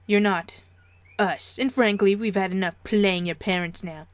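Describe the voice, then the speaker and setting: A woman's voice: medium pitch, fairly even intonation, measured pace, no room sound, lightly acted. A woman voicing a cold, stern father, blunt and emphatic with an adopted child in a story. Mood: cold, fed up.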